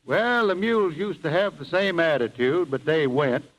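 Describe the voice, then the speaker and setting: A man's voice: a little high, normal speed, muffled recording, close to an everyday voice. An actor playing a mild, honest handyman who stands up for himself in a dry, plain-spoken way, in 1930s radio comedy style. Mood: firm, dry. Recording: vintage 1930s radio broadcast.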